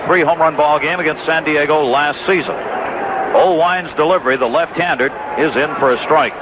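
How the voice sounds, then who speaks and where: A man's voice: fairly high pitch, moderate pace. An American man calling baseball play-by-play on the radio. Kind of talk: live radio play-by-play commentary. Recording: dull, very noisy 1980s AM radio broadcast. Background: loud outdoor stadium ambience.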